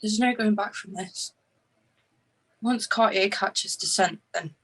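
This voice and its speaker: A woman's voice: mid-range voice, takes it slowly, fairly bright tone, low-quality recording. A woman playing a fierce, fed-up partner in a screenplay table read, emphatic and confrontational. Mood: resigned.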